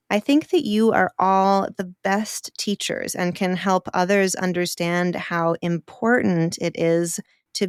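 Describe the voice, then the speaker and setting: Bright-voiced woman hosting a kids' podcast. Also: medium pitch, smooth, no echo, studio quality. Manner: warm encouraging, slow and emphatic. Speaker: children's podcast host. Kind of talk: enthusiastic talk to children.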